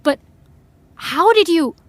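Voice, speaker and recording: Casting for audio drama: polite, nervous fangirl, high-pitched, slow and hesitant, natural dialogue. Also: animated, dry recording, full character voice. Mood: flustered awe. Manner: hesitant, halting, politely deferential. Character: nervous, polite female fan with private indignation.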